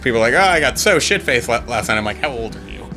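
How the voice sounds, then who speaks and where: A man's voice: medium pitch, big pitch swings, fast, bright, forceful tone. Man around 30, let's play co-host, chatty and self-deprecating, music underneath. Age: 30s. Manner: chatty, self-mocking, laughs often. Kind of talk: casual gameplay chat.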